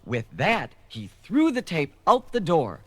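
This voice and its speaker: A man's voice: a little high, huge pitch swings, measured pace, a bit bright, dry recording. A man narrating a scripted children's story.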